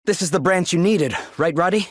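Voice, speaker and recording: A man's voice: sits high, fairly quick, no room sound, studio quality. A man playing an earnest, polite young hero in a video game cutscene.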